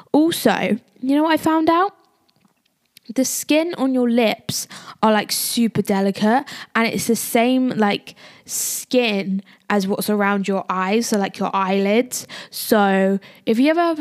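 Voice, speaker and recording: A young woman with a croaky voice, hosting a casual solo podcast and rambling off topic. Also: mid-range voice, darkish tone, no room sound, studio quality. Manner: chatty, rambling, drifts off topic. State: croaky voice from illness. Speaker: podcast host.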